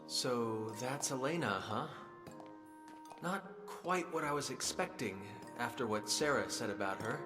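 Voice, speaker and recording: A man's voice: medium pitch, lively intonation, unhurried, close to an everyday voice. An actor playing a polite, earnest young male adventurer in a video game, boyish, hesitant and easily flustered. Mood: quietly doubtful. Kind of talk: video game cutscene acting. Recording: long reverb over game music.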